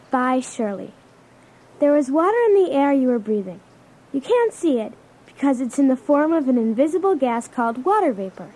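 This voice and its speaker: A woman voicing an excitable, expressive schoolchild in a children's read-along story. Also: high voice, low-quality recording.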